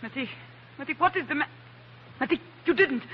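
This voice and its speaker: A woman's voice: high-pitched, lively intonation, brisk. An actress playing a scheming, hot-tempered woman in a melodramatic 1940s radio adventure. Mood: shrill, betrayed. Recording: muffled, hissy 1940s radio recording.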